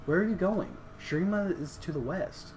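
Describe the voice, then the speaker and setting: An earnest young warrior girl with a lifted, pleading voice, as a male reader puts her on. She is quiet and steady, yet pushes hard when she insists. Faint music plays underneath. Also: normal speed, poor audio. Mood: urgent pleading. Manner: pleading, raised pitch. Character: young female warrior student, earnest, protective.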